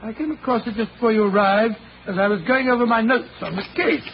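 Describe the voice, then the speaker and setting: Older English gentleman storyteller, high voice, genial, deliberate, muffled vintage radio drama. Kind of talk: 1940s radio acting. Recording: muffled old radio broadcast, hissy.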